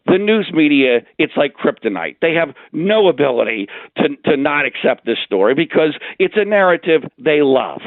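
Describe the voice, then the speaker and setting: Male radio guest, slightly high voice, very animated delivery, indignant political argument, lo-fi call sound. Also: dry recording. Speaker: guest commentator on a radio show. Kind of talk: opinionated political talk.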